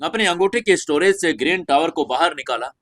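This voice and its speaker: A man's voice: a little high, expressive, a little fast, a bit bright. A man narrating a serialized fantasy audio story as its host, in a scripted dramatic style.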